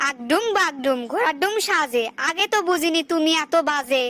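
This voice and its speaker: Feisty, nagging village wife for a comic cartoon, played by a woman: extremely high, sharp voice, northern Bangladeshi accent, rhyming complaints. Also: expressive, moderate pace. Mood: defiant. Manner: complaining, sing-song rhyming delivery. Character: feisty, long-suffering, nagging village wife bickering in rhyme. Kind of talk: comic dialect cartoon dialogue.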